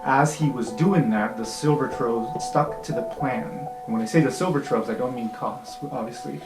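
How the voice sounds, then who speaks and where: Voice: medium pitch, moderate pace. Game master narrating in an echoey room. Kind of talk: improvised tabletop game narration with casual banter. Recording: echoey room with music behind.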